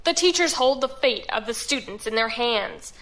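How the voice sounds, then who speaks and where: Plain-spoken mother, cynical, matter-of-fact, fairly high voice, audio drama. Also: lively intonation, moderate pace, fairly bright tone, rough recording. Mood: blunt, cynical. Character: frank, cynical mother and home cook.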